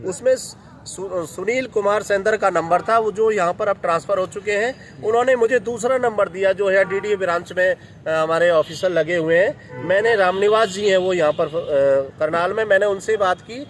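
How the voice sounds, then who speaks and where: Man, lawyer, high-pitched, earnest press briefing, outdoors near traffic. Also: moderate pace. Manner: earnest, heavy with legal terms. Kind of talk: press interview.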